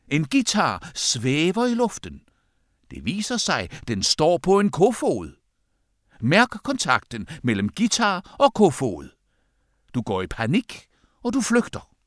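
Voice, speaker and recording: A man narrates a spoof guided meditation in a fairly high voice. His intonation swings dramatically from low to high as he places each short sentence with theatrical deliberation. The sound is dry, with hardly any room in it. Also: a bit bright, no echo. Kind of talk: scripted parody of a guided meditation.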